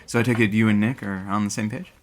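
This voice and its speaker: A man's voice: on the low side, fairly even intonation, fast, natural voice. Man playing a dry, wry radio producer. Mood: wry, sceptical. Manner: dry, sarcastic. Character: wry, teasing male radio producer and sidekick. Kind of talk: casual banter in an audio drama.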